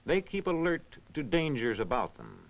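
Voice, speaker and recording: A man reading scripted educational narration in a measured, instructional, clearly enunciated way. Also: a little high, wildly swooping pitch. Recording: dull, band-limited vintage film soundtrack.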